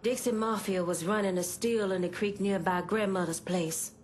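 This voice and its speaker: A woman's voice: mid-range voice, fairly even intonation, moderate pace, natural voice. An actress playing a hardened, grieving mother in a game cutscene, terse, sombre and unyielding. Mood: pained recounting. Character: hardened, grieving mother turned fierce protector. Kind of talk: dramatic game cutscene acting. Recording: faint background noise and ambience.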